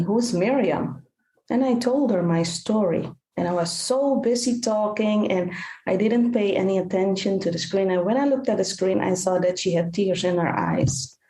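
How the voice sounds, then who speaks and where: A woman's voice: medium pitch, reverberant, poor audio. A Dutch woman, a native Dutch speaker, telling her story earnestly as a podcast guest. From Netherlands. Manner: earnest, animated retelling with repeated phrases. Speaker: guest, caregiver coach and author.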